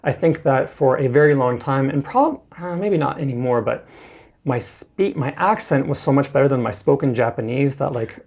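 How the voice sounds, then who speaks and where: A man's voice: mid-range voice, lively intonation, moderate pace, gravelly, a little mellow. A young man, a guest and Japanese tutor, explaining pronunciation in a loose, casual way with lots of filler words. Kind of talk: casual conversational interview.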